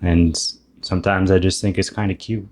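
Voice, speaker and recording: A man's voice: sits low, little pitch movement, moderate pace, a little mellow. A man in his thirties, a YouTuber talking through a reflective, self-deprecating monologue about spiritual ideas and often restarting his sentences. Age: 30s. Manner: musing, self-deprecating, thinking aloud with restarts.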